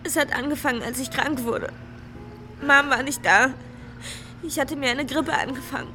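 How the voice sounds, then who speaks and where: A young prostitute on the run in a crime radio play. Her voice sits high with a sharp, bright edge. She sounds desperate and emotionally raw, with faint music behind her. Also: fairly even intonation, moderate pace. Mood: subdued, wounded. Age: young adult. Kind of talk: radio crime drama acting.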